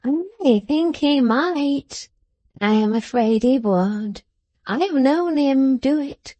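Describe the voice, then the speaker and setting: Woman voicing a slow, gasping, spiteful old man. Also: sits high, lively intonation, warm tone, dry recording, voice pushed up. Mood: incautious. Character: irritable, wheedling, breathless old man moneylender.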